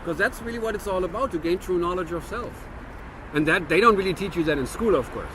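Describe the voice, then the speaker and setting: Man in his 50s, German-American accent, slightly high voice, earnest, street traffic behind. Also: animated, fast. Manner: earnest, philosophical, with frequent restarts. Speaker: vlogger. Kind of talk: reflective monologue to camera.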